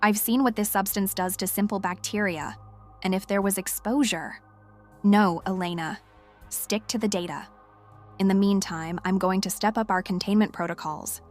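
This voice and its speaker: Female scientist played by an actress, audio-drama diary: intense, confiding, hesitant, fixated yet scared, soft music bed. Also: medium pitch, normal speed, natural voice. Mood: uneasy. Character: obsessed, frightened woman scientist keeping a lab log. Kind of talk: dramatic monologue as spoken diary. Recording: music under the voice.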